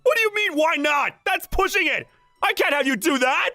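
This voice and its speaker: Woman playing a lovestruck young man, stammering, excitable, highly animated delivery, manga dub, background music. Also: moderate pace, no echo, close to an everyday voice. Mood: flustered. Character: flustered, lovestruck young working man, devoted idol fan. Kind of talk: manga dub voice acting with narration. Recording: music under the voice.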